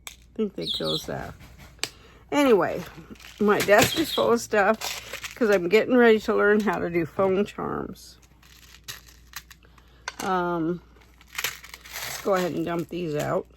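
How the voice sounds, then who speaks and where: Hoarse, slow-talking woman crafter. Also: on the low side, noisy background. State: hoarse, losing her voice. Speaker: craft vlogger. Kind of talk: casual chatty bead craft tutorial.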